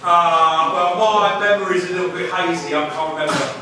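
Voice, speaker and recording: An actor playing a cheeky, excitable pantomime character with an exclamatory, theatrical delivery, on stage in a school hall. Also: medium pitch, moderate pace, a crowd in the background, lightly acted. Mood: evasive. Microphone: distant camera microphone. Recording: roomy school hall, distant microphone, noisy.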